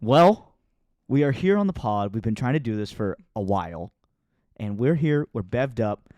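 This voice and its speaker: A man hosts a laid-back interview podcast with lively, swinging intonation. He is playful and teasing, speaks informally, and sounds close and dry. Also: mid-range voice, normal speed, dry recording. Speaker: podcast host. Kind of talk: casual podcast interview chat.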